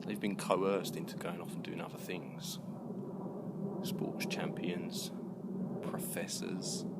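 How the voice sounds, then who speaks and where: A man vlogging casually on a walk outdoors, thinking aloud in a halting, rambling way. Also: deep voice, flat delivery, vocal fry. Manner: musing, halting, with unfinished thoughts. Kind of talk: casual rambling monologue while walking. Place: outdoors in a park at night. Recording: noisy outdoor handheld recording.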